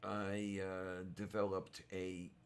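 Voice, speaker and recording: A man hosting a casual livestream chat, rambling and halting, his voice hoarse from a sore throat. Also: low-pitched, completely flat delivery. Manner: rambling, halting, many fillers. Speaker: livestream host.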